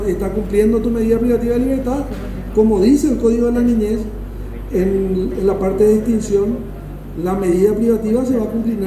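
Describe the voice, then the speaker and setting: High-voiced Paraguayan male law professor lecturing. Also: recorded from a distance. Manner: didactic, emphatic repetition, checks understanding. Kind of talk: classroom lecture with questions to students. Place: classroom. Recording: echoey room from afar, noisy.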